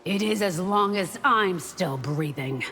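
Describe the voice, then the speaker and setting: A woman's voice: lowish voice, animated, a little slow, fairly bright tone. A woman playing a devout, fiercely loyal holy guard in a video game, speaking with solemn deliberation. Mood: solemn defiance. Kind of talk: video game drama acting. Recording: long hall reverb, music behind.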